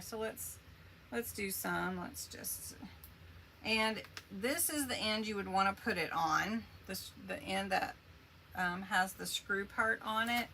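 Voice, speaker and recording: Chatty woman doing a craft livestream, slow and rambly, bit of vocal fry, noisy background hum. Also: medium pitch, bright, forceful tone. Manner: chatty, rambling, answers viewer comments. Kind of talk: casual craft demo livestream.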